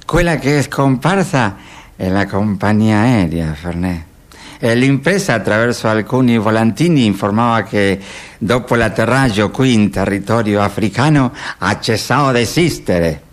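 A man playing a solemn, eager roving reporter giving a halting, grave live report in radio theatre. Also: on the low side, expressive, voice pushed down, natural voice.

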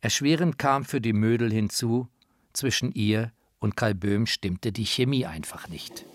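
A man's voice: on the low side, measured pace, dry recording, studio quality. A man narrates a radio documentary in a personal, informed way, as its presenter.

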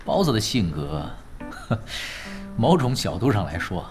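Chill teasing young gamer dude, radio drama, really lively pitch swings, music underneath. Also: male voice. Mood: wry, amused. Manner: unhurried, nonchalant, teasing. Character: laid-back, wry young male pro gamer. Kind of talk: Chinese radio drama acting.

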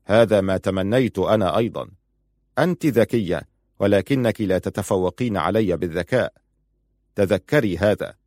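A man's voice: sits low, normal speed, a little mellow, dry recording, clean studio sound, natural voice. A man playing a stern, proud and suspicious husband in audiobook dialogue, firm, curt and challenging. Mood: accusing.

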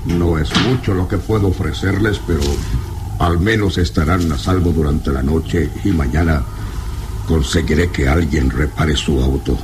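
Casting: low-pitched rustic man, surly and servile, classic radio serial manner, heavy background noise. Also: moderate pace. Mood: servile, nervous. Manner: gruff when refusing, stammering when deferential. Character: surly, fearful rural man, servile toward his betters. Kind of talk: old Spanish-language radio serial acting. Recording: noisy old radio serial recording.

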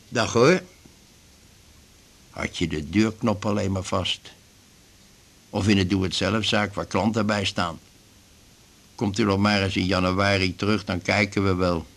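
A man's voice: on the low side, slow, vocal fry, long pauses, fairly bright tone, exaggerated voice. An actor playing an aggrieved, garrulous retired glue salesman in his fifties in a radio drama, rambling and grumbling. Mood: rueful. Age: 50s. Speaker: patient in a doctor's office. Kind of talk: naturalistic radio drama acting.

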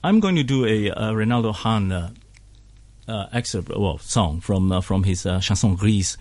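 A man's voice: on the low side, animated, moderate pace, a little mellow, dry recording. A man whose first language is Hokkien, a choir director and singer, talking as a guest on a radio show in a hesitant way, full of fillers and chuckles. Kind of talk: casual radio interview. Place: radio studio.

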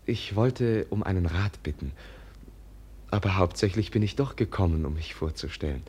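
A candid young prince in a 1950s German radio play. His voice sits fairly low. He speaks with gentle courtesy and guileless earnestness. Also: expressive, normal speed, breathy edge. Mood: explanatory, earnest.

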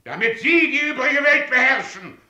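An actor playing an irate, bombastic guild chief who dictates in a declamatory, indignant way in a radio drama. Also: male voice, very high voice, animated, normal speed, sharp tone, reverberant, exaggerated voice. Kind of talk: 1970s German radio drama acting.

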